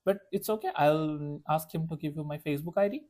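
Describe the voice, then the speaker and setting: A young man from Bangladesh with a Bangladeshi accent, a freelance ad marketer earnestly pitching his services to a client on a call. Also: fairly quick, crowd noise. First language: Bengali. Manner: earnest, reassuring, fillers of "you know". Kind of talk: sales call.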